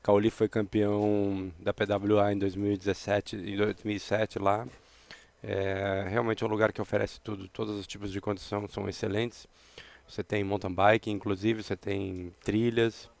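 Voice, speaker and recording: A man with a Brazilian Portuguese accent doing excited play-by-play commentary that rises with the race action. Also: fairly low pitch, fairly quick, creaky. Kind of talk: live sports commentary.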